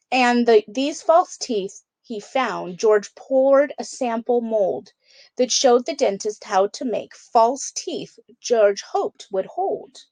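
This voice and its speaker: A woman's voice: a little high, darkish tone, no room sound, rough recording. A woman teacher reading a picture book aloud, adding conversational explanations and check-in questions for children.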